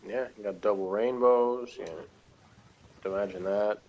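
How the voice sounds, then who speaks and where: A man's voice: on the low side, fairly even intonation, measured pace, a little mellow, low-quality recording. A man chatting casually as a guest reviewer, slangy and joking, often hesitant in his phrasing.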